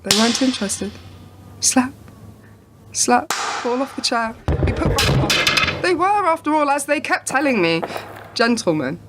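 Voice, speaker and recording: A woman playing a bitter, wary, traumatised spy in a radio play, speaking in halting, clipped phrases. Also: sits high, sing-song. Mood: bitter, haunted. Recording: very noisy local radio play recording.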